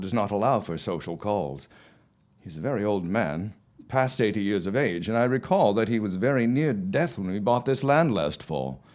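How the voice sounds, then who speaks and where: Man playing self-assured period farmer, low voice, wildly varied intonation, formal theatrical phrasing, dismissive, audio drama. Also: darkish tone, lightly acted. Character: confident, dismissive early 1900s farmer husband.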